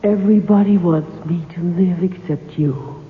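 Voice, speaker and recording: A woman's voice: lowish voice, steady tone, a little slow, natural voice. A woman acts out a novelist's clinging imaginary heroine in a seventies radio drama. She is coaxing, plaintive and teasing. The voice swims in long reverb on a narrow old broadcast recording with faint noise. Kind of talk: 1970s radio drama acting.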